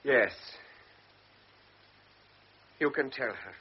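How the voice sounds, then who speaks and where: A man's voice: mid-range voice, drawn-out words. An actor playing a young lieutenant who narrates his own story in an earnest, hesitant, introspective way. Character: young lieutenant, torn and guilty. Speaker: narrator and lead character. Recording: dull lo-fi radio broadcast with music.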